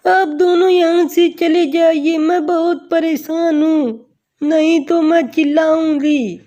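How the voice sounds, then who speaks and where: A woman voicing a distraught young village woman in love, pleading and plaintive, in an animated ghost story. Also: very high voice, pitched above the performer's own voice. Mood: frightened. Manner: plaintive, pleading, drawn-out cries.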